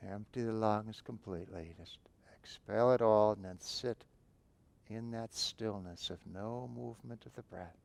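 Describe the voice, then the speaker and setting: A man guiding a breathing meditation, giving calm, unhurried instructions with long pauses between phrases. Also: fairly low pitch, vocal fry. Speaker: meditation teacher.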